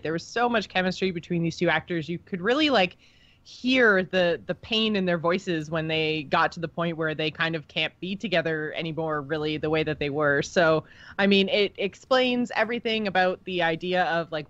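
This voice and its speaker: A woman's voice: fairly low pitch, a bit bright, dry recording, dull audio. A woman co-hosting a podcast, chatting in a casual, informal and teasing way about something she follows as a fan. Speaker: podcast co-host. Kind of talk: casual, unscripted fan discussion.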